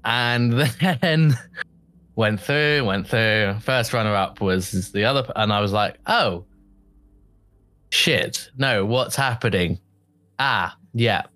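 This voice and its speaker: A man's voice: lowish voice, slow, sharp tone. A young man telling his story as a podcast guest in a casual, chatty way, often laughing and quoting himself.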